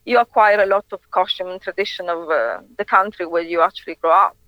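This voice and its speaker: A woman from Italy with an Italian accent, a singer-songwriter guest answering radio interview questions in a halting way, full of hesitations and restarts. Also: fairly low pitch, no echo, muffled recording.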